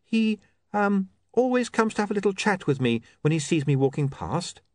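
A man's voice: sits high, very animated, moderate pace, dry recording, clean studio sound, pitched above the performer's own voice. A man voicing a shy, nervous young woman in a storybook reading, hesitant and sprinkled with little ums.

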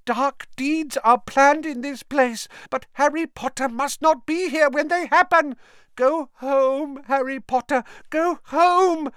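A man's voice: very high-pitched, takes it slowly, fairly bright tone, no room sound, clean studio sound, voice pushed up, exaggerated voice. A man voicing an anxious, servile male house-elf who pleads and keeps calling people sir. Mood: frantic.

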